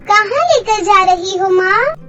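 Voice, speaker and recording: A woman's voice: very high voice, animated, slow, fairly bright tone, highs cut off, faint music behind, full character voice. A woman voicing a small, sweet, trusting girl in a children's cartoon. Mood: curious.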